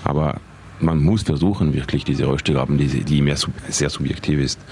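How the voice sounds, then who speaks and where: A man with a French accent answering interview questions in German, his second language, halting with many repeated words and restarts. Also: rumbling low, fairly even intonation, darkish tone, slight background noise. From Geneva. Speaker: interview guest, film official.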